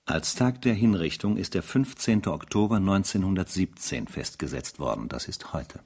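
An actor playing a grave, reflective French officer narrating his diary in a radio play, measured and solemn, with dramatic pauses. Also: sits low, animated, a little mellow, slightly rough, exaggerated voice. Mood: solemn, foreboding.

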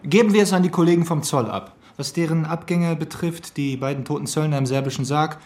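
A man's voice: a little high, a little fast, darkish tone, lightly acted. A man playing a keen, insistent investigator in a crime radio play. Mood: explaining. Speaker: actor in a crime radio drama. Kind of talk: German radio play dialogue.

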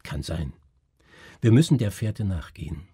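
A grave, educated doctor, acted by a male narrator from a literary tale. He speaks formally and broodingly, with a very husky, mellow voice that stays close and dry. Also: low-pitched, expressive, unhurried, no room sound, heavily acted. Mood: suspicious, intent.

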